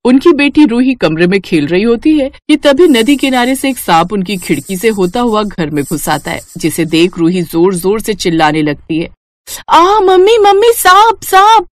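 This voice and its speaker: A woman's voice: mid-range voice, animated, moderate pace, hardly any pauses, a little mellow, no echo, clean studio sound. A woman narrating a scripted moral story as its narrator.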